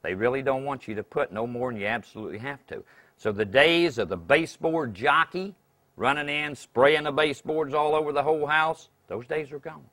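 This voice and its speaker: A man's voice: mid-range voice, a little fast, a bit bright. A middle-aged man, an exterminator, explaining emphatically and often checking in with the listener. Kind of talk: interview and on-camera demonstration. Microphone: lapel. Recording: clear music behind the voice.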